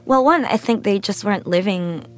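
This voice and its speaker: A woman from the Philippines, speaking reflectively and thoughtfully in an interview, with halting pauses. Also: mid-range voice, animated, soft background music. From Philippines (Manila). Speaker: interview guest, photojournalist.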